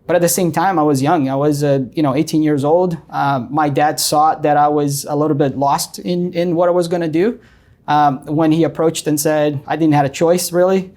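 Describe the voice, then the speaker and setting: A man's voice: on the high side, steady tone, moderate pace, darkish tone. Brazilian-accented man telling his story. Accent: Brazilian Portuguese. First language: Portuguese. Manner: frequent ums and uhs, earnest. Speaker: guest. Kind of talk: casual interview storytelling.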